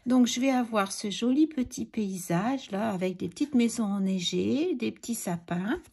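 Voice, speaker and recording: A middle-aged woman with a cold, hoarse and breathy, chatting hesitantly and often half-whispering as she explains a crafting tutorial. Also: fairly high pitch, moderate pace, darkish tone. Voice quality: raspy, breathy, gravelly. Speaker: card-making tutorial host.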